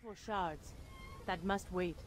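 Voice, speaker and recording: A woman's voice: lowish voice, slow pace, background noise. A woman playing a grave, sorrowful alien clanswoman in a video game, serious and foreboding in her formal speech.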